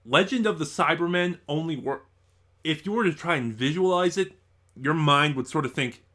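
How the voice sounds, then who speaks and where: A man's voice: fairly high pitch, normal speed, sharp tone. A man giving a casual, unscripted review as an online reviewer, rambling and thinking aloud in a stop-and-start way.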